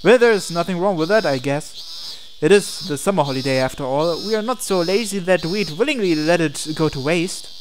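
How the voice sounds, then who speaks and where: A man's voice: fairly high pitch, animated, normal speed, noisy background. A man reading a visual novel aloud in a narrated let's play, adding asides and occasionally stumbling over the text. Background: game music.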